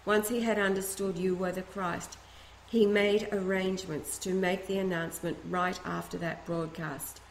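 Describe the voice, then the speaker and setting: A woman reads a first-person letter aloud, her voice sitting a little low. She keeps a steady, earnest pace with the odd restart. The recording rings with room echo over a faint ambient hum. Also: moderate pace, creaky. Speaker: reader of a letter.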